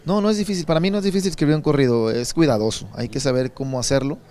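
A Mexican man in his thirties from Sinaloa, answering interview questions at an indoor expo booth into a handheld mic, relaxed and rambling with many repeated words. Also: on the high side, very fast. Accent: Sinaloan Mexican Spanish. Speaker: songwriter and singer.

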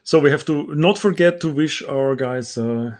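A man's voice: a little high, normal speed, a little mellow. Austrian man, chatty co-host on a video call. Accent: Austrian German. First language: German. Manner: chatty, many um fillers, reads names out carefully. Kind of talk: casual video call chat. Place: home room with bookshelves. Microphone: video call with earbud.

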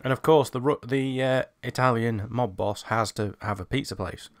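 A man's voice: mid-range voice, moderate pace. A man giving casual, sweary gameplay commentary, half talking to himself as he plays. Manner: sweary, muttering to himself while playing. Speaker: video game reviewer playing. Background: game music with guitar.

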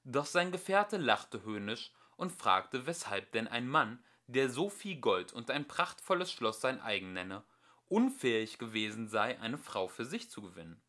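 A young man narrates a storybook tale in the manner of a homemade audiobook. His voice sits at an average pitch with a bright, forward edge. He moves animatedly up and down as he stresses the phrases. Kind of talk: scripted fairy tale narration, read aloud.

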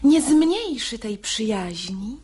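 An actress playing a poised, shrewd married lady fending off a suitor, with husky, breathy voice and mannered verse delivery in a radio drama. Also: on the high side, slow pace, heavily acted. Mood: cool, reproving. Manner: mannered, expressive declamation.

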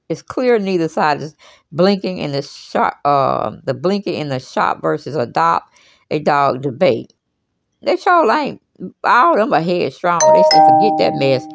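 A woman's voice: fairly low pitch, creaky, no echo, clean studio sound. An American woman giving casual commentary as a host, reading an article aloud with chatty, folksy asides. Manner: chatty, folksy, exclaiming asides and repeated check-in phrases. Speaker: host commenting on celebrity news.

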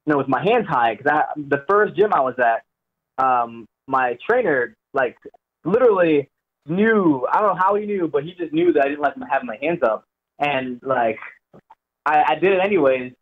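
A man's voice: on the high side, moderate pace. Young man on a tinny phone line. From Jamaica, raised in Florida. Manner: relaxed, rambling, repeats words. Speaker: guest fighter. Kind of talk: phone-in interview.

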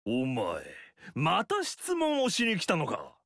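A self-important middle-aged nobleman lectures with lofty airs in a game,. His voice sits high and bright with a gravelly rasp and swings widely in pitch. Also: wildly swooping pitch, takes it slowly, dry recording, clean studio sound, heavily acted. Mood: haughty. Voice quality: haughty, lecturing. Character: pompous middle-aged male noble lord, proud of lineage. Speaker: game character. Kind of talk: game story dialogue.

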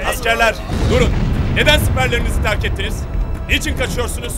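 A man's voice: very high-pitched, fast. A man playing a fierce, resolute army commander in a war cartoon, with a commanding, declamatory delivery. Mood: stern, rousing. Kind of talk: cartoon war drama dubbing. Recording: loud battle score over voice. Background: loud music.

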